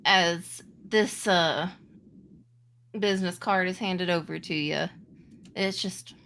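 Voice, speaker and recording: A woman game master narrating a tabletop roleplaying game in a halting, deliberate, improvised way. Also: on the low side, fairly bright tone, a little hiss or hum.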